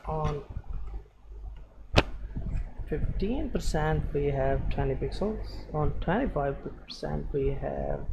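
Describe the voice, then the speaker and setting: A man presenting an unscripted screen-share programming tutorial, giving halting step-by-step instructions in short phrases. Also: fairly high pitch, gravelly, background noise.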